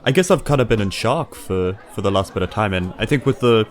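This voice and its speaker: A man's voice: on the low side, lively intonation, a little fast. A man with a New Zealand accent talking casually as a player in a tabletop roleplaying game. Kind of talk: casual improvised roleplay chat.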